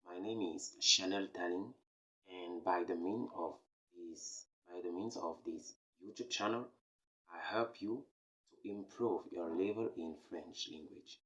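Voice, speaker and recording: A man from Cameroon teaches beginner French with a very low, deep voice. He speaks slowly and deliberately. He repeats each key phrase several times for emphasis. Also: a bit bright, dull audio. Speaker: French teacher, channel host. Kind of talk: slow, repetitive beginner language lesson.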